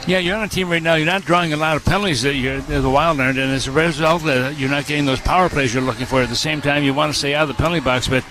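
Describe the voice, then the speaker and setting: A man's voice: a little high, steady tone, very fast, hardly any pauses. A man working as a sports radio broadcaster, giving commentary and asking interview questions. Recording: noisy arena ambience behind voice.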